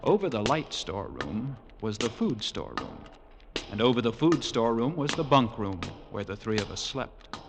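A man's voice: medium pitch, sing-song, normal speed. A man playing a grim lighthouse keeper telling a nightmare tale in a dramatic 1940s radio drama style. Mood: brooding, hypnotic. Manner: brooding, dramatic, vividly descriptive storytelling. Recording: vintage radio broadcast with music underneath.

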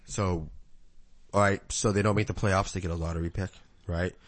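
A man's voice: low voice, fairly even intonation, moderate pace. A man, a podcast co-host in loose, rambling sports chat who laughs often.

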